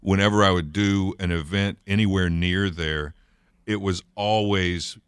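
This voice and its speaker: Low smooth-voiced male radio host. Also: steady tone, measured pace, no echo, clean studio sound. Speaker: radio morning show host. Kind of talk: casual radio chat.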